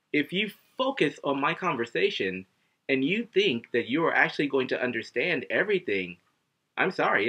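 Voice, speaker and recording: A man in his thirties teaching English to camera at home, animated and acting out little scenes as he explains. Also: medium pitch, slightly rough. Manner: animated, acts out students and himself, gestures a lot. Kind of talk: casual video lesson to camera. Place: living room at home, indoors. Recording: dull lo-fi webcam audio.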